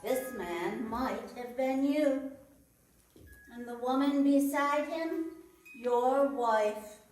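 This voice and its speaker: Woman as a slow, solemn ghostly spirit, very echoey. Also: high voice, darkish tone, slight background noise. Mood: stern, solemn. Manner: solemn, deliberate, commanding. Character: solemn, stern ghostly spirit showing shadows of the past. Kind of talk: radio play acting. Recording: very echoey room, far from the mic.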